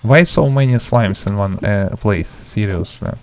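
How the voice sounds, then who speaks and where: A man, speaking in halting, simple step-by-step instructions as he hosts an informal video game tutorial. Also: on the low side. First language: not English. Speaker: gaming tutorial host. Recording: music behind the voice. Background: background music.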